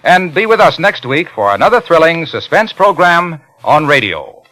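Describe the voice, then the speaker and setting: A man's voice: a little high, big pitch swings, moderate pace, bright, forceful tone, no echo, faint music behind, poor audio. An American man reading a scripted sponsor announcement in the polished, emphatic style of a 1950s radio announcer. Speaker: commercial announcer. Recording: vintage 1950s radio broadcast.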